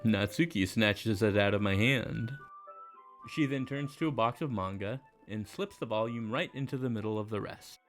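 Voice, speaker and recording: A man playing an awkward, mild high school boy, narrating in first person with a hesitant, understated delivery. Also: moderate pace, faint music behind, lightly acted. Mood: dry, understated. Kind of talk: first-person visual novel narration and dialogue.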